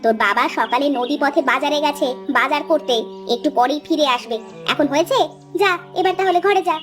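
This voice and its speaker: A woman's voice: sits high, fairly quick, music in the background, natural voice. Exasperated, nagging cartoon mother played by a woman. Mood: exasperated, scolding. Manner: impatient, nagging. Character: exasperated, scolding village mother. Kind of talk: acted dialogue in a moral-story cartoon.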